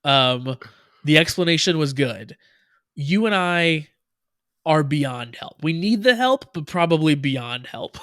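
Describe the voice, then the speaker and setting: A man's voice: fairly high pitch, animated, slow pace, fairly bright tone, dry recording, clean studio sound. A man with an American accent co-hosting a casual show review, rambling with lots of fillers and frequent laughs. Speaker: podcast co-host. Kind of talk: casual chat.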